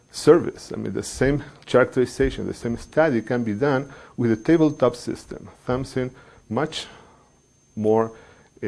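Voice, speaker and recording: Middle-aged researcher, measured and halting. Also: male voice, mid-range voice, darkish tone. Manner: measured, pausing often with fillers, non-native phrasing. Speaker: engineering researcher. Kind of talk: explaining research to a general audience.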